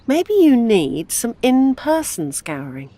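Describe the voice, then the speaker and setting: An actress playing an older widowed mother in an audio drama, grieving yet gently scheming as a matchmaker. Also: on the high side, sing-song, slow, full character voice. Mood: brisk, nudging.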